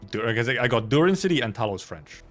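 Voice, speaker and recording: A man's voice: mid-range voice, big pitch swings, fast, fairly bright tone. A man streaming a game, reacting casually with wry, offhand muttered asides. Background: faint game music.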